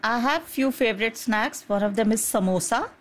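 A woman's voice: on the high side, moderate pace. A woman doctor and mother answering interview questions in an earnest, plain-spoken way with some hesitations.

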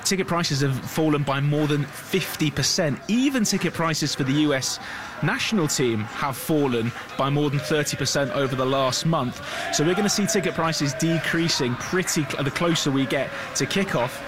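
A man's voice: on the high side, normal speed, background noise. A man speaking as a business reporter in a live news discussion, conversational and often checking in with tag questions.

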